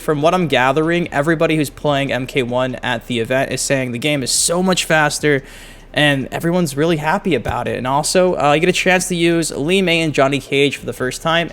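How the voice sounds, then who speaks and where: A man's voice: fairly high pitch, a bit bright. A man hosting a gaming video, talking through game news in a casual, slangy, enthusiastic fan style.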